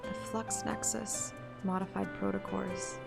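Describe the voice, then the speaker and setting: A woman's voice: medium pitch, flat delivery, a little slow, gravelly, over loud music. A woman game streamer giving casual commentary and narration over a story game.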